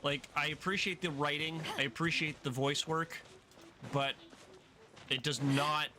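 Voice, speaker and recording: A man's voice: a little high, fairly even intonation, measured pace, bright, forceful tone, slight background noise. A man giving casual gameplay commentary as a video game streamer. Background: game music.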